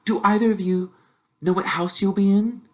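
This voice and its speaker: A man voicing a bossy, know-it-all young girl witch who chatters in a prim, brisk, self-assured way. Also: high voice, fairly even intonation, voice pushed up, lightly acted. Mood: bossy, chatty.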